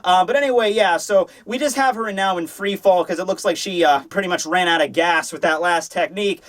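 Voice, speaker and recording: High-voiced man, sarcastic, excitable reviewer. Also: a little fast. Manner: excitable, rambling, sarcastic asides with acted-out bits. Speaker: host of a manga review show. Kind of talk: casual, profane comedic review commentary.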